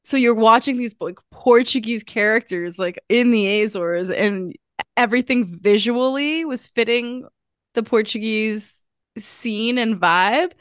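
A woman's voice: a little high, unhurried, dry recording, studio quality. A young woman co-hosting a podcast, chatting casually with many fillers and restarts. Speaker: podcast co-host. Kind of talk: casual chat.

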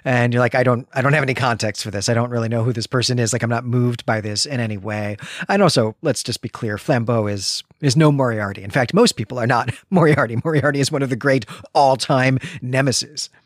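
A man's voice: mid-range voice, fairly quick, hardly any pauses, dry recording, studio quality. A man hosting a literary podcast, talking in a chatty, discursive way with wry asides. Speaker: podcast host.

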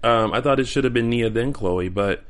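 A man's voice: medium pitch, moderate pace. A man co-hosting a casual fan panel chat, rambling and joking with frequent ums. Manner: rambling, jokey, hesitant with ums. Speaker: co-host.

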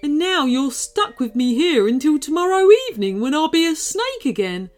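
A woman's voice: sits high, sing-song, moderate pace, voice pushed up. A woman voicing a fretful cursed shark. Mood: relieved, chatty. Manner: fretful, nervous, then relieved and friendly. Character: anxious cursed male shark, once a snake. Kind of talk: storybook character voice.

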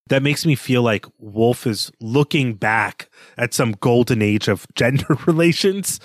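A man's voice: mid-range voice, no room sound. Man co-hosting a literary podcast, close dry sound. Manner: analytical, chuckles while talking. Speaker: podcast co-host. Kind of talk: conversational literary discussion.

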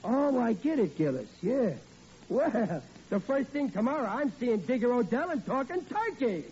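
An actor playing a blustering, excitable family man who stammers when flustered, in 1940s radio acting, on an old lo-fi recording with faint hiss. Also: very high-pitched, normal speed. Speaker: lead character in a radio comedy.

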